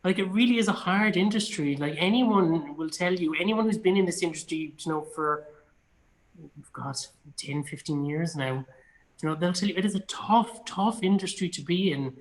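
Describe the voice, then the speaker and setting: A man's voice: high-pitched, moderate pace, rough recording. A man in his thirties with a Cork Irish accent, chatting casually and emphatically in an interview, with a self-deprecating way of telling stories. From Ireland. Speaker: interview guest, actor.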